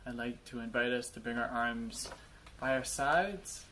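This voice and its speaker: Guy doing a guided body scan, gentle phrasing, lots of pauses, some background noise. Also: mid-range voice. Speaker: guided meditation instructor.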